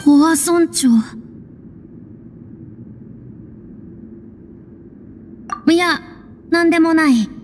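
An actress playing a proud yet earnest young woman in a video game, speaking in a measured, theatrical, formal way. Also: very high-pitched, steady tone, a little hiss or hum. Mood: resolute. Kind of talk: Japanese video game voice acting. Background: faint music.

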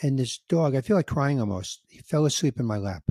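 A man in his sixties hosting a podcast, telling a story in a casual, animated way and acting out the dialogue, with some emotion. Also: mid-range voice, fairly quick, dry recording, clean studio sound. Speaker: podcast host, comedian. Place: home room with record shelves. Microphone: studio mic on boom, headphones, video call.

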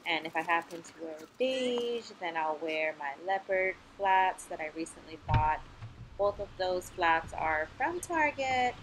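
A woman's voice: lowish voice, animated, measured pace, background noise. A woman from Hawaii, a mother and vlogger, chatting casually in voice-over about her life and cleaning out her car. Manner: chatty, explaining.

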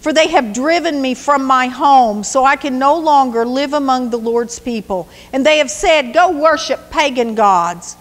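A woman's voice: a little high, animated, measured pace, in a large hall. A woman voicing a young male warrior who taunts his enemies in a sing-song, mocking way. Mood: pleading.

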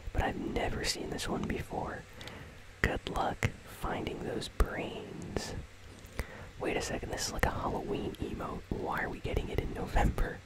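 A host whispering casual, conversational ASMR commentary on a video game in a breathy, unvoiced voice, close to the microphone. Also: poor audio. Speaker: host of a gaming news channel.

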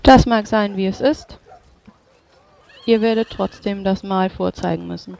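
A tabletop roleplayer speaking formally and in a measured way, switching between in-character speech and narration. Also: medium pitch, steady tone, vocal fry, darkish tone. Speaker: player or game master voicing characters in a tabletop game.

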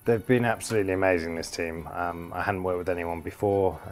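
A man's voice: deep voice, fairly even intonation, a little fast, soft background music. A man from the UK, an actor, talking casually in a press interview about his film. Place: barn interior.

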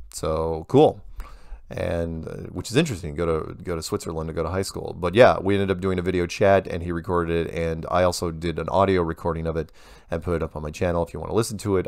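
A man with a very low, gravelly voice hosts a casual show. He reads listener mail and comments in a conversational way with wry asides. The sound is close and dry. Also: dry recording. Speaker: host of an email Q&A show. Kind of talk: casual commentary, reading listener emails aloud.

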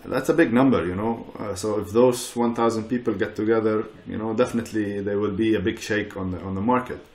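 A middle-aged man talking as a panel guest over a video call from his living room, relaxed and peppered with "you know". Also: on the low side, brisk, few pauses, echoey room. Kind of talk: casual panel talk.

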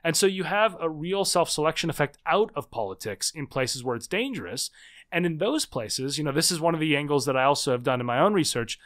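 A man in his thirties, a guest author in a conversational interview, explaining in quick, animated bursts with frequent restarts. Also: fairly high pitch. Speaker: guest, political scientist and author.

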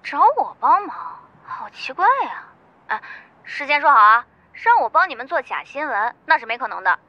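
A woman's voice: high voice, big pitch swings, normal speed, no room sound, highs cut off. A woman playing a feisty, brash young character, snappy and easily annoyed, in an audiobook drama. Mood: wary, surprised. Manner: snappy, exasperated.

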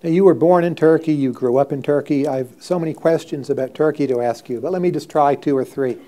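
Middle-aged male host, fairly high mellow voice, direct probing questions, lapel mic on stage. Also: brisk. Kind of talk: live stage interview. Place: stage before a live audience.

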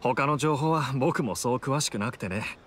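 A man's voice: medium pitch, moderate pace, music in the background, natural voice. A man playing an easygoing young adventurer in an anime game, talking in a casual, reflective, slightly hesitant way. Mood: thoughtful. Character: easygoing young male adventurer, thoughtful townsman.

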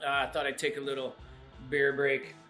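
A man's voice: mid-range voice, monotone, moderate pace, loud music behind the voice. A man in his forties chatting casually to camera in a laid-back, folksy way as he hosts a do-it-yourself vlog. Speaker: host of a DIY review vlog. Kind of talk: casual how-to chat to camera. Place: indoors at home: garage, bar room and bedroom.

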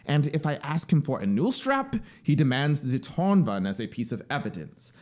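A man's voice: medium pitch, very animated, normal speed, darkish tone, pitched above the performer's own voice, lightly acted. A man voicing a fanatical officer devoted to his machine, complaining with emphatic indignation in a story reading. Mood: aggrieved, confiding. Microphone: close studio microphone on boom arm.

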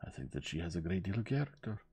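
A man playing a courteous, pensive gentleman detective in an audiobook, measured but firm. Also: low-pitched, very animated, breathy edge, faint music behind, exaggerated voice. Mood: thoughtful.

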